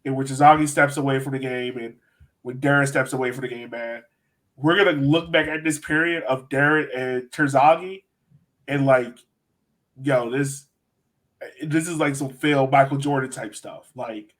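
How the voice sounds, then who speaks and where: A man's voice: mid-range voice, steady tone, normal speed, a bit bright. A man hosting a sports podcast, chatting casually and emphatically about soccer in an informal way. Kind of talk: casual chat, soccer analysis.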